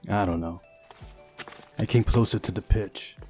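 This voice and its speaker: A man's voice: lowish voice, unhurried, mellow, faint music behind. A man reading a visual novel aloud during a playthrough, narrating the story and adding casual asides of his own.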